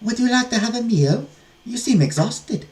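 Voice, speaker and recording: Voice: medium pitch, lively intonation, normal speed, a little mellow, far from the mic, voice pushed up, natural voice, rough recording. A performer voicing a kindly, easily shocked older nun who exclaims and draws out her words in a storybook reading. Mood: welcoming.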